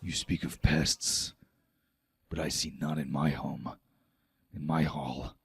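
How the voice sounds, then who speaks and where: A man's voice: little pitch movement, slow, gravelly, fairly bright tone, breathy edge, no room sound, heavily acted. A man voicing a proud, fierce giant warrior chieftain in a declamatory, theatrical tabletop game style. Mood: cold, menacing. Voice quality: low growl when angered. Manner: declamatory, theatrical, old-fashioned oaths.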